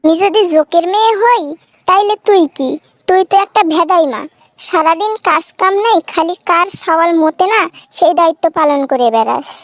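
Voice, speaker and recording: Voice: very high-pitched, lively intonation, moderate pace, no echo. A performer voicing a fierce, sarcastic village wife in a comic cartoon, taunting sharply in a rural Bangladeshi Bengali accent. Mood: taunting, angry. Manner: taunting, sharp-tongued, threatening. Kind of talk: comic cartoon dialogue, exaggerated bickering.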